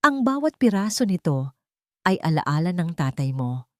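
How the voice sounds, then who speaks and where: A woman voicing a gentle, sorrowful widowed mother in a story, speaking softly and earnestly. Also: on the low side, very animated, normal speed, dry recording, clean studio sound. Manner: soft, earnest, solemn.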